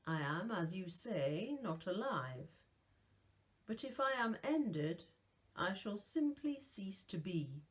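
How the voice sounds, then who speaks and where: A woman reading aloud voicing a calm, ageless male guardian who is not alive, with a soft, velvety voice and a grave, measured way of talking. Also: medium pitch.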